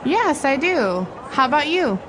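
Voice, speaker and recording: A woman's voice: high voice, very animated, a little slow. A woman voicing a friendly local city worker in a scripted lesson dialogue, with vehicle noise behind.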